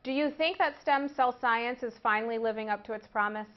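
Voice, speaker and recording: A woman interviewer asking prepared questions in a measured, formal way. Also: on the high side. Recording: dull lo-fi sound.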